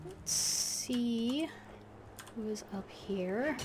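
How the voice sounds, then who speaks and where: A woman's voice: a little high, lively intonation, extremely slow, noisy background. A woman gaming streamer commenting live, reading dialogue aloud and musing as she plays.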